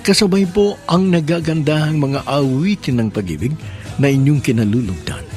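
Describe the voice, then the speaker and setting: A man's voice: on the high side, moderate pace, darkish tone, faint music behind. A man hosting and narrating a radio romance drama in an earnest, sentimental storytelling manner.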